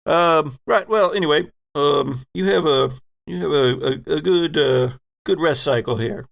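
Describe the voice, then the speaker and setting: A man's voice: a little high, creaky, a bit bright. A man playing a polite, hesitant spaceship boss who hedges his way through sentences in a tabletop game. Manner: halting, hedging, mild-mannered. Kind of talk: improvised in-character dialogue in a tabletop game.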